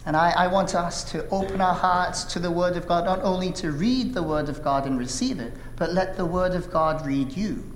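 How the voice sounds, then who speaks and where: A man in his thirties from South Korea, whose first language is Korean, preaching a sermon with an earnest, measured delivery and frequent "uh" fillers. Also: high voice. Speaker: pastor. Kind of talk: sermon with opening prayer. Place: church sanctuary. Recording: echoey hall reverb, faint room noise.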